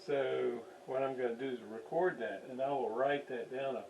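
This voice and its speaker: Guy doing a slow unscripted bench demo, thinking out loud, mic a bit far off, faint noise behind him. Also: medium pitch, steady tone, creaky. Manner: halting, thinking aloud while working. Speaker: radio restoration hobbyist, demonstrator. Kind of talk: unscripted hands-on bench demonstration.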